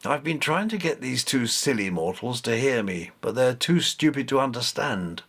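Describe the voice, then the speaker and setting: An imperious bronze cat goddess from a storybook, played by a male reader. She is immortal, contemptuous and used to being obeyed. Her delivery is expressive, with wide swings in pitch. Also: moderate pace. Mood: exasperated disdain. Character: haughty immortal female cat god, giant bronze statue beast. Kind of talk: storybook character voice.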